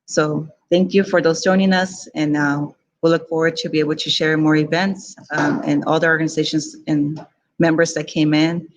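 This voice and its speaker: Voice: medium pitch, level tone, normal speed, a little hiss or hum, rough recording. A community organizer gives closing remarks at a virtual event, often stopping and repeating words.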